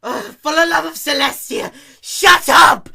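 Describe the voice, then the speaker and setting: Man doing a shrill, hot-tempered cartoon pegasus girl. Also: measured pace, creaky, heavily acted. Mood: exasperated. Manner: whiny, dramatic, shouting.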